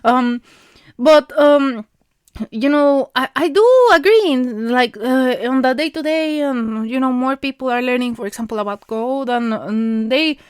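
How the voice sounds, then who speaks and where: Woman, thirties, Mexican Spanish accent, native Spanish speaker, informal solo podcast chat; fairly high voice, hesitant, lots of fillers, laughs lightly, dry close recording. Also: a little slow, smooth, no room sound. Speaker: podcast host. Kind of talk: casual monologue.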